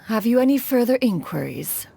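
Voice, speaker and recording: Stately, ancient crane immortal, formal and caring. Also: female voice, fairly high pitch, big pitch swings, moderate pace, gravelly, dark tone. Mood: dignified, recounting. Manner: formal, archaic, stately, refers to self as "one". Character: proud, caring, ancient female crane adeptus, elder master. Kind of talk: scripted video game voice acting. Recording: background music.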